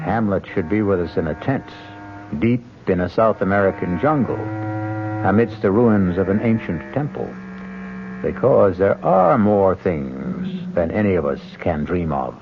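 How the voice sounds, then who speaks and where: A man narrating as host of a radio mystery drama in a measured, wry, ominous manner. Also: fairly low pitch, noisy background. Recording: dull old radio recording. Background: music.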